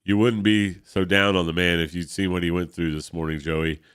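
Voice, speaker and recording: A congested man reading aloud as a British gentleman who tells his family's vampire history, in a storytelling manner. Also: deep voice, little pitch movement, normal speed, smooth, no room sound, studio quality. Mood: grave.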